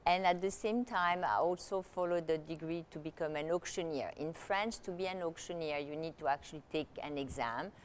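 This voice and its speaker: A woman's voice: sits low, a little fast, hardly any pauses, slight background noise. A woman who grew up in Italy, a guest in an interview, talking through her career in a chatty way with many fillers and restarts. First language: Italian. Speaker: guest, luxury consultant and author. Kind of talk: conversational interview.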